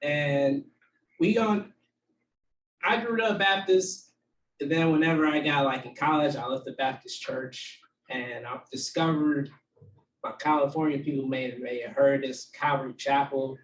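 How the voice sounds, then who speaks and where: A man teaching a casual Bible study to a small group, with a low voice and plenty of fillers. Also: steady tone, a little slow, slight background noise. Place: room with a small group, also streamed online. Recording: echoey room, far from the mic.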